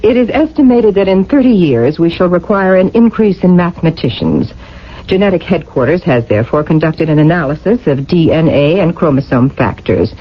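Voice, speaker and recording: Cold, officious future-state bureaucrat. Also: female voice, fairly low pitch, animated, brisk. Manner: formal, stern, bureaucratic. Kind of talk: 1980 radio drama acting. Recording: dull, lo-fi old radio recording.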